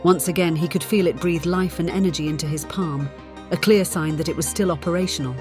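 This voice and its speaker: A woman's voice: fairly low pitch, steady tone, fairly quick. A woman reading scripted fiction as a dramatic audiobook narrator. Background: music.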